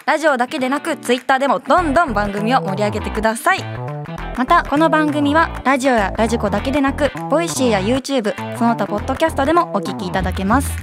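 A woman's voice: high voice, expressive, fast talker. A young woman, a radio show assistant, reading a scripted announcement. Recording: music bed behind voice. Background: music.

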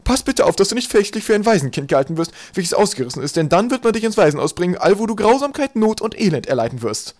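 A man's voice: high-pitched, big pitch swings, fast, hardly any pauses, a little mellow, voice pushed up. A man voicing an absent-minded, fussily dramatic mother in a comic children's audiobook. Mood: anxious warning.

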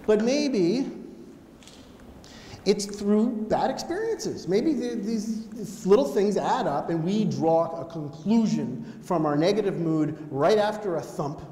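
A middle-aged American man gives a motivational keynote in a fairly high voice. He talks conversationally and acts out bits of dialogue as he goes. The sound rings through a reverberant auditorium with some background noise. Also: animated, slow, dark tone. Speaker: keynote speaker. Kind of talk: motivational storytelling talk. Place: on stage in an auditorium. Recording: echoey hall with background noise.

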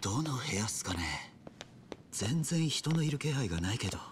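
An actor playing an earnest young yakuza underling in a video game, respectful yet wry, with rough clipped deference. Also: male voice, mid-range voice, sing-song, normal speed, background noise, natural voice. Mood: wary. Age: young adult. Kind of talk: video game cutscene acting.

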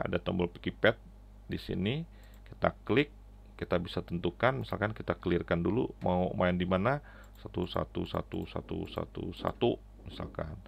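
A middle-aged man giving a casual, halting, step-by-step app walkthrough into a headset mic. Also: low voice, animated, gravelly, slightly rough. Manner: halting, step-by-step explaining with frequent "ya" tags. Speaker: app reviewer and tutorial presenter. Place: indoors at a computer, keyboard clicks. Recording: slightly dull, lo-fi audio.

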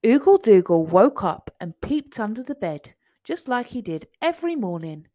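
A woman's voice: mid-range voice, big pitch swings, normal speed, dry recording, muffled recording. A woman reading a children's picture book aloud in a storytelling style.

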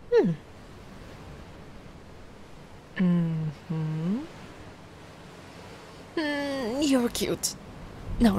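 A woman voicing a giant, sweet and curious young mermaid in an ASMR roleplay, gushing and doting in a gentle, cooing way. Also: mid-range voice. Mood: curious, excited. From Italy. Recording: noticeable ambient background noise.

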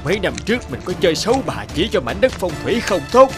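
A man's voice: sits high, big pitch swings, quick pace, over music. A man voicing a flustered, guilt-ridden middle-aged feng shui master in a folk-tale cartoon, hesitant and stammering. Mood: flustered, nervous.

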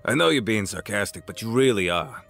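Man acting as a smug, low-voiced crime boss. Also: lively intonation, gravelly, fairly bright tone, faint music behind. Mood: smug, persuasive. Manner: drawling, patronizing, deliberate. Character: smug older male crime boss, menacing and folksy. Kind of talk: dramatic audio drama acting.

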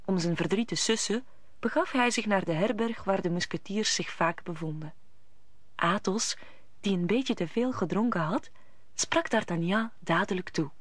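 A woman's voice: mid-range voice, moderate pace, dry recording. A woman narrator telling the story of a radio play in scripted storytelling style. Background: music.

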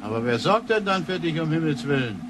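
An actor playing an old inventor grandfather in a science-fiction radio play, protective, earnest and a little gruff. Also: on the high side, big pitch swings, a little fast, very noisy. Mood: gruff, concerned. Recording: music behind the voice.

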